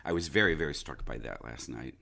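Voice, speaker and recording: A man who is an actor, chatting reflectively in a halting way, repeating words and restarting sentences. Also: a bass voice, gravelly, bright, forceful tone. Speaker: stage and radio actor. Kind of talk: casual reflective conversation.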